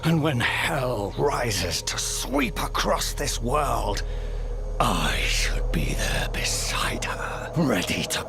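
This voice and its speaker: An actor playing a bitter, proud renegade sorcerer in a fantasy game, breathy, slow and contemptuous. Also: male voice, mid-range voice, big pitch swings, over music, heavily acted. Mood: bitter, scornful. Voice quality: breathy, hissing whisper. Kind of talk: cinematic fantasy game acting.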